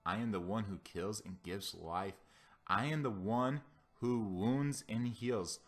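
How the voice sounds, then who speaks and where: A man's voice: on the low side, slow, faint music behind. A man voicing a mighty, wrathful God in a scripture reading, commanding and declamatory as he proclaims vengeance.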